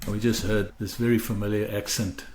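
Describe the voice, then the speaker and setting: A man's voice: fairly low pitch, little pitch movement, brisk, darkish tone. Reflective South African man, echoey room. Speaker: podcast co-host. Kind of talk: reflective personal storytelling. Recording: echoey room with faint background noise.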